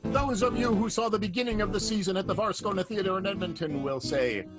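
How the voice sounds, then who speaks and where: A man's voice: high-pitched, fast. A man narrating an improvised radio play in a wry, conversational way. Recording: loud music under the voice.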